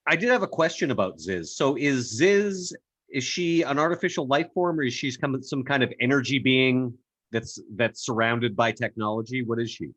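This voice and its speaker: Man, medium pitch, inquisitive, conversational, very dry recording. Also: moderate pace, a bit bright, no room sound. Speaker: voice actor giving feedback. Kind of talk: casual group discussion.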